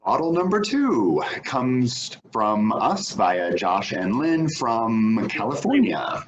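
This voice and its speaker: A man's voice: mid-range voice, big pitch swings, slow pace, muffled recording, slight background noise. An actor playing a wry, inquisitive man hosting friends in a staged play reading. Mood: showy.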